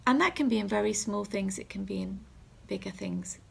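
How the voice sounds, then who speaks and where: Female reverend, thirties. Husky with lots of vocal fry, gentle reflective assembly delivery, pausing often, recorded on a device in a small room. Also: mid-range voice. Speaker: reverend leading a school assembly. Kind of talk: gentle reflective assembly talk and prayer for children. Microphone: phone or webcam at arm's length.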